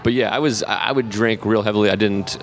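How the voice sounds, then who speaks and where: A man in his thirties with a Boston accent, a comedian guest in a casual interview, halting and self-deprecating with frequent laughs. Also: lowish voice, steady tone, slight background noise.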